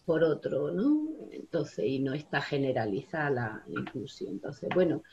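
Older lady on a video call from her home study, kinda low voice, rambly, lots of "no?" tags, dull webcam sound. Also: lively intonation, unhurried, warm tone. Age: 60s. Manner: rambling, lots of fillers and tag questions. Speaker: guest, special education teacher and union member. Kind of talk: casual video-call interview. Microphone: laptop webcam on a video call.

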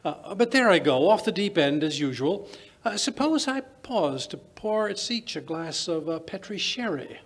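Radio-play elderly British doctor, acted by an older man: avuncular rambler, highish gravelly voice. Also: big pitch swings, normal speed, exaggerated voice. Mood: relishing storyteller. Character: genial elderly British doctor, storytelling old raconteur. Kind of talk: old-time radio drama acting. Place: small stage in a museum, live performance. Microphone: vintage-style stand microphone.

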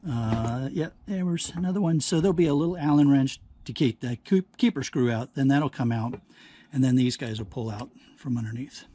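A man's voice: medium pitch, mellow. Man thinking aloud, noisy background. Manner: halting, thinking aloud while working. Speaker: host of a scrapping how-to channel. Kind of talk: casual hands-on demonstration commentary. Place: at a workbench. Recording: clear background noise, handling clicks.

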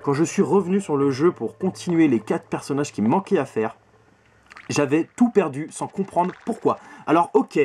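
Emphatic young man with a higher-pitched voice. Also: expressive, normal speed, darkish tone, breathy edge. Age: 20s. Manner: opinionated, emphatic, repeats words and swears. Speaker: video game collector and reviewer. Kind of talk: casual vlog game review. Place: small bedroom at home, indoors.

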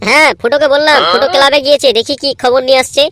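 A performer voicing a quarrelsome, excitable young lad in a comedy cartoon, arguing. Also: high-pitched, rapid-fire, no room sound. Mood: scheming. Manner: argumentative, excitable. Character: scrappy, quarrelsome young gang and club lads. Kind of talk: Bangla comedy cartoon dubbing.

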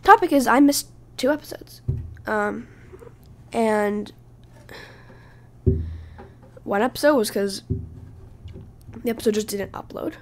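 A woman hosting her own podcast, speaking casually while hoarse from losing her voice, halting and often dropping to a breathy whisper. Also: on the high side, darkish tone, slight background noise. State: hoarse, lost voice. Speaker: podcast host. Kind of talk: casual solo podcast talk.

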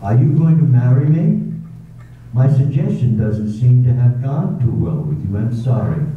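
An amateur actor in a staged radio drama reading, playing a brusque, wealthy older gentleman who talks in a dry, teasing, curt way. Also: mid-range voice, lively intonation, a little slow, narrow, tinny audio, slight background noise, close to an everyday voice. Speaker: amateur readers theater actor. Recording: echoey hall, far from mic, phone-thin sound.

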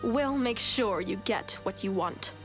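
A woman's voice: medium pitch, animated, unhurried, over music, natural voice. A woman playing a firm, businesslike adventurer in a fantasy audio drama.

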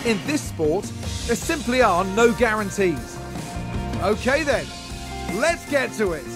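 Race commentator, polished announcer delivery, very high voice, game audio over loud music. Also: male voice, very animated, slow pace, full character voice. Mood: measured. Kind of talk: scripted broadcast commentary.

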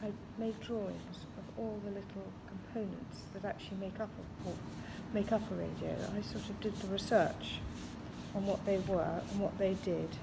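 A woman in her fifties, an artist, calmly explaining her work while working in a print studio. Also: mid-range voice, vocal fry. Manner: thoughtful, unhurried, with fillers. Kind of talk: casual explanation of her artwork. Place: large print studio with skylights. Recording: loud studio noise, radio behind.

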